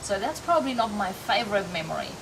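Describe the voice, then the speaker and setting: A middle-aged woman from Europe, a riding coach, answering interview questions in a relaxed, reflective way with many ums and restarts. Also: medium pitch, quick pace, vocal fry. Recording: distant mic, loud outdoor background noise.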